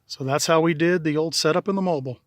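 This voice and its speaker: Man with higher-pitched voice, casual walkthrough. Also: quick pace, no echo. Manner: plain-spoken, matter-of-fact, folksy. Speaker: DIY installer presenting own work. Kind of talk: casual video walkthrough narration. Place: in and around a parked vehicle.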